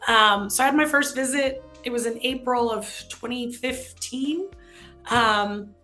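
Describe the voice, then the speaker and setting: A woman's voice: a little high, measured pace, bright, forceful tone, soft background music. An American woman in her thirties, chatting casually as a guest on a work video show, with lots of fillers and self-deprecating asides. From United States. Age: 30s.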